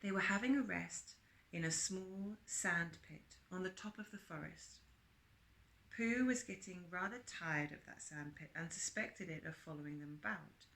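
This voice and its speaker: Bright-voiced woman reading aloud, roomy sound. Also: lowish voice. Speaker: reader and presenter of book extracts. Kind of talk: read-aloud with chatty asides and character quotes.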